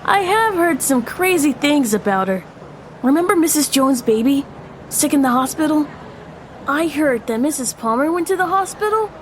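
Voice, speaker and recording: Woman acting high-voiced anxious schoolboy. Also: big pitch swings, moderate pace, a little hiss or hum. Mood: gossipy, spooked. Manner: earnest, hesitant. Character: anxious middle school boy confiding in his best friend. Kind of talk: family audio drama acting.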